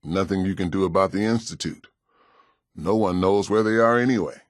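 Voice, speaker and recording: An actor playing a kindly, world-weary pastor in a video game, with an American accent and a gentle, folksy, plain-spoken way of talking. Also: male voice, low voice, normal speed, dry recording, studio quality, lightly acted. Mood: somber.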